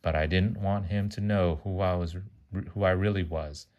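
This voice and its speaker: Guy w a deep low voice reading a kids book out loud, fumbles words a bit, chats to the kid as he goes. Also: steady tone, normal speed, muffled recording. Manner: stumbles over words and corrects himself. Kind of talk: read-aloud children's story with chatty asides.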